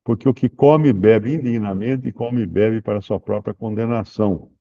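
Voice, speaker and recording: Man teaching scripture, lo-fi. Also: on the low side. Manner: relaxed, explanatory, reads scripture aloud slowly. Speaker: Bible teacher answering questions. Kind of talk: informal Bible study Q&A.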